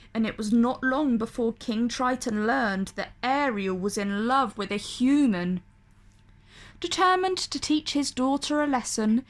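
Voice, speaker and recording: A woman reading a storybook aloud for children in an expressive, deliberate, dramatic way. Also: fairly high pitch. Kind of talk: expressive read-aloud narration for kids.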